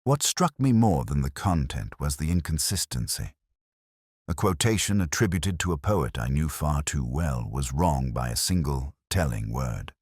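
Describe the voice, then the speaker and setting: A man with an extremely low voice reads a scripted mystery. His delivery is measured and brooding, with pitch that moves expressively through each literary sentence. The sound is dry and close, free of room echo. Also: very animated, darkish tone, breathy edge. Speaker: narrator of a detective story. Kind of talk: scripted first-person mystery narration.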